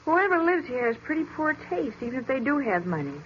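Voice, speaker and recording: A woman's voice: high-pitched, big pitch swings, a little fast. A woman playing an observant, curious detective's assistant in an old-time radio mystery, chatty and inquisitive. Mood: musing, critical. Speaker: actress in a radio detective drama. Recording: muffled, noisy vintage radio recording.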